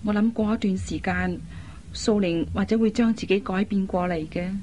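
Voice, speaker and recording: An actress playing a considerate, demure young elder sister in a Cantonese radio drama, speaking in a gentle, earnest way. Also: female voice, mid-range voice, fairly even intonation, moderate pace, slight background noise, natural voice. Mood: gentle concern. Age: young adult.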